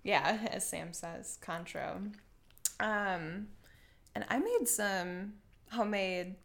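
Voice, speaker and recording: A woman's voice: mid-range voice, animated, takes it slowly, creaky, a bit bright. A woman co-hosting a podcast in casual chat, with a profane and giggly manner. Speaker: podcast co-host. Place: living room.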